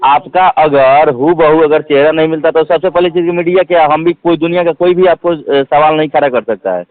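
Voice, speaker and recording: Man on a tinny phone line, halting. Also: on the high side, no room sound. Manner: halting, repetitive, emphatic. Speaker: interviewee. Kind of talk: phone interview. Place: phone call. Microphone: telephone. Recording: tinny phone call recording.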